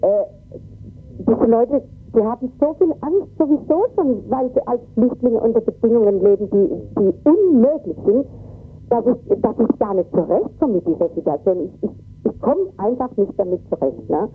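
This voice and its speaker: A distressed woman giving an agitated, halting eyewitness account in an interview, often repeating words and tagging her sentences with "ne". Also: a little high, expressive, slightly rough, phone-quality sound, faint music behind. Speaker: interviewee, volunteer refugee worker.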